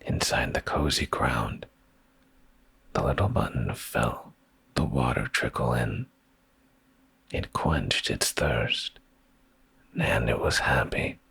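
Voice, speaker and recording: An extremely low-voiced man reads a bedtime story as ASMR, heavy with vocal fry. He is soothing and intimate, and his hushed delivery is very breathy, often slipping into a whisper. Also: expressive, takes it slowly, long pauses, poor audio. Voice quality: very deep, breathy, gravelly. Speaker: ASMR streamer reading bedtime stories.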